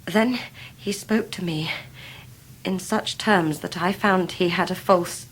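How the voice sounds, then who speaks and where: An actress playing a devoted, dignified young Victorian wife in a period radio drama, fervent and formal in delivery. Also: female voice, lowish voice, takes it slowly, slight background noise, poor audio. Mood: fervent, sincere.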